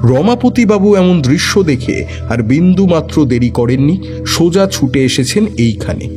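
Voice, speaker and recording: A man narrating a detective story with expressive, suspenseful delivery. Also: fairly high pitch, a little slow, over music.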